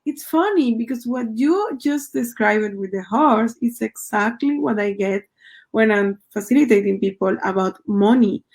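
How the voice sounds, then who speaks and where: Bright-voiced enthusiastic woman host. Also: a little high, expressive. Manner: enthusiastic, quick, with frequent wows. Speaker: podcast host. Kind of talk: casual interview.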